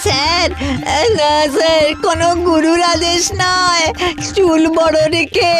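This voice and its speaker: A woman's voice: very high voice, flat delivery, takes it slowly, loud music behind the voice. A woman voicing a sly, long-haired schoolboy thief in a cartoon who whines and pleads. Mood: pleading. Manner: whiny, pleading.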